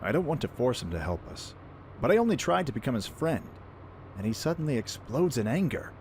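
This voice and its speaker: A man playing a brash, friendly young pirate prince in an animated, informal way for a fan audio drama. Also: mid-range voice, brisk, a little hiss or hum. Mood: puzzled, frustrated.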